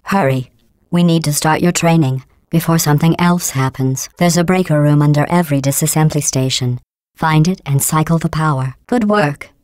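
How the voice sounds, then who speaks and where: A woman's voice: deep voice, smooth, no room sound, natural voice. Woman voicing a cold, mocking female AI. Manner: dry, condescending insults delivered calmly. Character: cold sarcastic female AI overseer. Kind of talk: video game voice clips.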